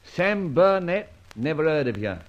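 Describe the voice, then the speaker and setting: An actor playing a cool, wily detective with a breezy, cheeky delivery in an old-time radio drama. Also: male voice, on the high side, sing-song, a little slow, lo-fi sound. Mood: defiant bluffing. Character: cool, wily male detective bluffing crooks. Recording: noisy vintage radio recording with clicks.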